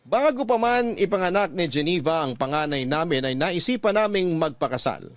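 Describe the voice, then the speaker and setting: An actor playing a sincere man narrating his own love story in a scripted radio drama, measured and reflective. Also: a little high, expressive, no echo, lightly acted. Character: sincere male letter sender recounting his love story. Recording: music under the voice.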